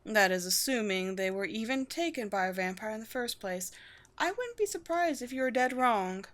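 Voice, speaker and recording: Woman playing a haughty, measured vampire queen. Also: fairly high pitch, expressive, a bit bright. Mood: wry, commanding. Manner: formal, measured, haughty, teasing. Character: poised vampire queen, proud, wry, secretly gentle colony leader. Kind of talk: scripted roleplay audio drama.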